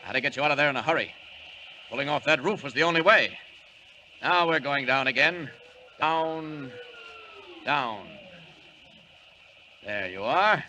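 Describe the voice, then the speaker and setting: An actor playing a calm, commanding alien hero in a 1940s radio drama, measured and reassuring. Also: male voice, mid-range voice, a little hiss or hum, poor audio.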